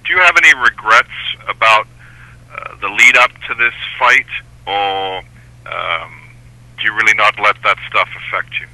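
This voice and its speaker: A man with a fairly low voice asks questions as a reporter on a phone press call. The sound is very bright and dry, with little room around it. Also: a little slow, dry recording, poor audio. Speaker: sports reporter asking questions. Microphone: telephone.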